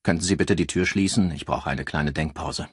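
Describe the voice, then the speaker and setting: A man voicing a brisk, dryly polite male chief inspector in a crime audiobook. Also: extremely low pitch, breathy edge, no echo, clean studio sound, full character voice. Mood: businesslike. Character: businesslike, wry male police chief inspector.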